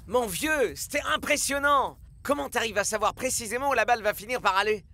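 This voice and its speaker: An actor playing a keen, chatty young football player in a French cartoon dub. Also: male voice, very high voice, fairly quick, bright, forceful tone, slight background noise, exaggerated voice. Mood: admiring.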